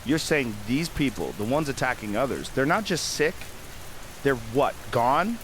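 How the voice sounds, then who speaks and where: An actor playing a weary, shaken survivor hosting an emergency radio broadcast in a drama, with plainspoken, informal, uneasy delivery. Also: male voice, medium pitch, expressive, a little slow, fairly bright tone, a little hiss or hum, poor audio. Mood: alarmed questioning.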